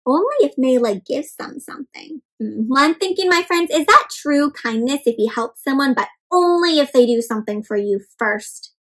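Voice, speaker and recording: A woman storyteller reading a picture book aloud to young children, animated and expressive, putting questions to them and explaining words. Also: sits high, moderate pace, hardly any pauses. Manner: dramatic gasps and exclamations.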